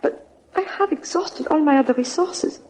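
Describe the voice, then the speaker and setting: An actress playing a refined, pleading European princess in exile, speaking formally and haltingly in an old-time radio drama. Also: female voice, high voice, a little mellow, a little hiss or hum, close to an everyday voice. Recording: dull, muffled old radio recording.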